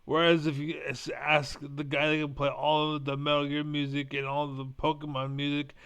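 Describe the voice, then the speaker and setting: A man's voice: fairly high pitch, monotone, moderate pace, fairly bright tone, smooth. A young man with Spanish as his first language, rambling bluntly in a casual monologue and swearing now and then. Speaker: vlogger.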